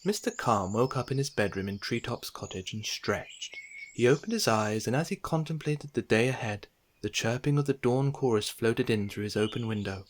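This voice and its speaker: A man narrating a children's bedtime story in an expressive, measured read-aloud style. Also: medium pitch, a little hiss or hum.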